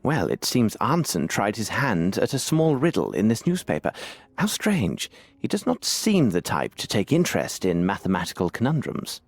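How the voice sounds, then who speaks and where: An actor playing a shrewd, self-assured Victorian detective with a British accent in an adventure game, speaking precisely and formally as if musing aloud. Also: male voice, lowish voice, wildly swooping pitch, normal speed, no room sound, heavily acted. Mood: musing, analytical. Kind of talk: scripted adventure game dialogue.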